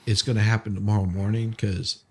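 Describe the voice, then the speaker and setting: A man's voice: lowish voice, flat delivery, fairly quick, breathy edge. A man streaming casual, jokey chat in a rambling way, often repeating his words as he goes. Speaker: live streamer.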